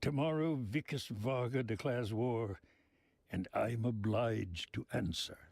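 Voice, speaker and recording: An actor playing a genteel, sly old mob boss in a video game, speaking in florid, deliberate phrases. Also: male voice, mid-range voice, vocal fry, a little mellow, breathy edge, dry recording, studio quality, exaggerated voice. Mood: grandly knowing. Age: older.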